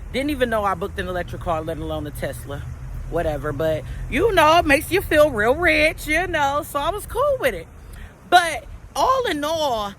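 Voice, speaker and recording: Woman vlogger, high and bright, loud and slangy, swearing, shot outdoors on camera audio with faint traffic behind. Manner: animated, slangy, swears freely, talks straight to viewers. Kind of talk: casual motivational vlog. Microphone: camera without microphone.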